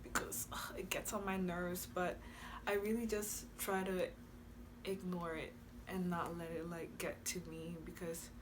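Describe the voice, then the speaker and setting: A woman's voice: fairly low pitch, flat delivery, a little slow, background noise. A young woman from Honduras vlogging casually to camera in her room, chatty, with many fillers and frequent laughs. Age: young adult. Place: bedroom with a flag behind her.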